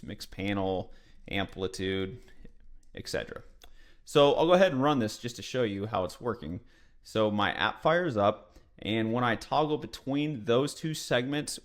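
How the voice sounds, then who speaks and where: A man narrating a screen-share software tutorial in a casual, conversational, explanatory way, as a developer advocate would. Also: lowish voice.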